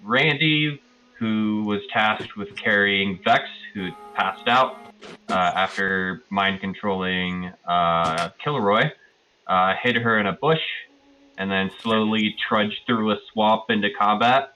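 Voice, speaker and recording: Low, bright-voiced man recapping hesitantly. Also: slow pace, highs cut off. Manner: hesitant, loosely recalling, hedging. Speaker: player in a tabletop roleplaying game. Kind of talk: casual spoken recap of a game session.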